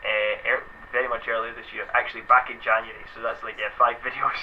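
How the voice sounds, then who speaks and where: A man's voice: mid-range voice, flat delivery, fast. Scottish male guest, young adult, chatty and rambling, dull lo-fi mic with faint noise. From Scotland. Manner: rambling, lots of fillers. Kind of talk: casual chat.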